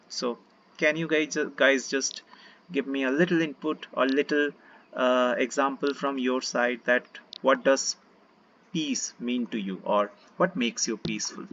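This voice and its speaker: A man with an Indian accent teaching a casual online session about peace and mindfulness, gentle and full of fillers. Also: mid-range voice, lo-fi sound, slight background noise. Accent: Indian English. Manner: relaxed, gentle, many fillers, invites listeners to join in. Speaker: meditation teacher.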